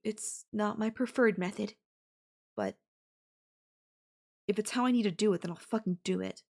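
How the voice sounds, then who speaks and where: A woman playing an obsessive, possessive girlfriend in an intimate roleplay audio drama, sweet but menacing, with breathy cooing. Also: medium pitch, normal speed. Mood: scheming, menacing. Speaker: voice actor.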